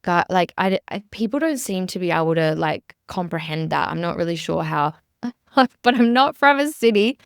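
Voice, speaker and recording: A woman's voice: lowish voice, expressive, moderate pace, creaky, smooth, no room sound, studio quality. A woman from rural South Australia with an Australian accent, hosting a casual solo podcast in an earnest, chatty way, full of fillers and restarts. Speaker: podcast host and business coach.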